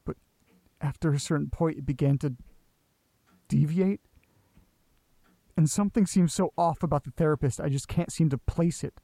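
Nervy, needy guy: slightly high, warm dark tone, very dry sound, jittery naturalistic drama delivery. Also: no echo. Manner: hesitant, rambling, jittery. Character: anxious, sweary male tape listener, needy and rattled. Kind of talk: naturalistic audio drama acting.

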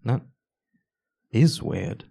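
An actor playing an earnest, slightly exasperated male archivist in a live audio drama, speaking in a halting, apologetic way. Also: fairly low pitch, expressive, vocal fry, dark tone, slightly rough, heavily acted. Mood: puzzled.

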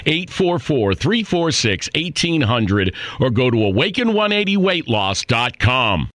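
A man's voice: mid-range voice, very animated, a little slow, a bit bright, no room sound. A man giving an earnest, chatty customer testimonial in a radio ad.

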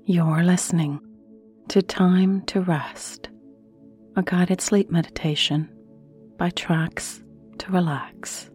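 A woman guides a sleep meditation extremely slowly, in a low, mellow voice. Her soothing phrases trail off into breathy near-whispers between long pauses, over faint ambient music. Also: steady tone.

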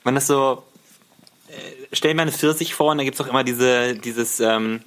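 A man's voice: mid-range voice, normal speed, a bit bright. A man chatting casually as a podcast guest, giggly and informal, with English slang mixed in. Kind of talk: casual chat.

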